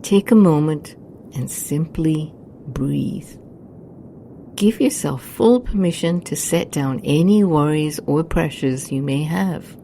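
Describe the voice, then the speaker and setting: Woman doing a guided meditation, soft mellow low voice, real slow, bit of rumble behind her. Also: expressive, vocal fry. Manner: soothing, hushed, unhurried delivery. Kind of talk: scripted guided meditation narration.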